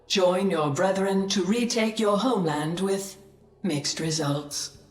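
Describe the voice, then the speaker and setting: An actress voicing a composed military computer adjutant, making formal, dutiful announcements in a video game. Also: female voice, mid-range voice, slow pace, lightly acted. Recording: echoey, with faint music behind.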